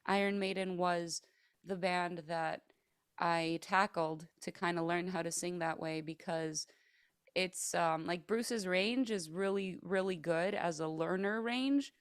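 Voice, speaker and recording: A chatty woman singer in a relaxed interview, her voice sitting a little low for a woman. She leans on plenty of fillers and quick laughs. The sound is dry and close, with no room around it. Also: clear, resonant tone, no echo. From Greece and the United States. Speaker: metal singer, guest. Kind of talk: casual interview chat.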